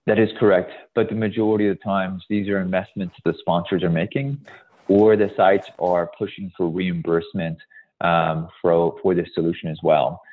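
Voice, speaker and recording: Very deep-voiced man on a tinny call. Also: fairly even intonation, normal speed. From Hungary. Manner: emphatic, repeats "absolutely critical". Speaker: guest presenter, tech company executive. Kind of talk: webinar presentation and Q&A. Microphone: video call. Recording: tinny phone-like call audio.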